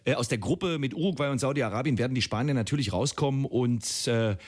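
A man's voice: medium pitch, a little fast. A man giving a football match report and analysis as a sports reporter.

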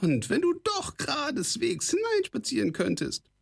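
A man's voice: very high-pitched, huge pitch swings, moderate pace, vocal fry, slightly rough, pitched above the performer's own voice, exaggerated voice. A man voicing a sly, spiteful little male goblin with a squawking, nasal voice, mocking as he talks. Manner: mocking, gloating. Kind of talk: audiobook character voice.

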